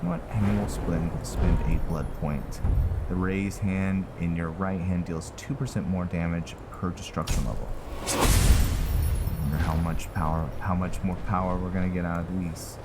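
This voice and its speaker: A man giving casual commentary on his own video game playthrough, halting as he thinks aloud. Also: fairly even intonation. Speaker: gaming video host narrating own playthrough. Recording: heavy background noise, rumbling game ambience.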